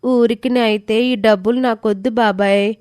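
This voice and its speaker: A woman's voice: fairly high pitch, fairly even intonation, moderate pace, a little mellow, smooth, dry recording, clean studio sound, lightly acted. A woman voicing a polite, earnest, reserved village girl in a scripted moral story.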